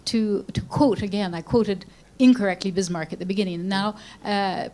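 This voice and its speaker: A woman's voice: medium pitch, a little mellow. A woman speaking as a panelist at a conference, thoughtful and lightly joking as she makes her point. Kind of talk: panel discussion remarks.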